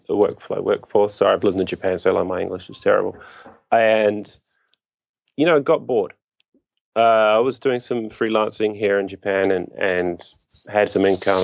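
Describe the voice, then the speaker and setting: A man in his thirties with a New Zealand accent, answering interview questions in a halting, reflective, self-deprecating way. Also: on the low side, creaky, a little mellow, no room sound. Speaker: interview guest, business co-founder.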